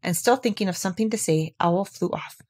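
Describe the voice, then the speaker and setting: A woman reading a children's story aloud as an expressive narrator. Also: lowish voice, fairly quick, slightly rough.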